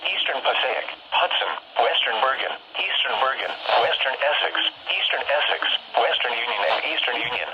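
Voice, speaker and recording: Clear radio noise surrounds a dull, band-limited signal. On it, a synthetic male announcer of medium pitch reads a scripted weather bulletin. His delivery is measured and impersonal, that of an automated text-to-speech system. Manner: flat, measured, impersonal reading of data.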